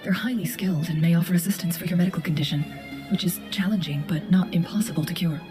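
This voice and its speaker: A woman's voice: lowish voice, steady tone, fast, over music, natural voice. An actress playing a poised, kind, articulate noblewoman in a video game scene. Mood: reassuring. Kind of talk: dramatic game voice acting.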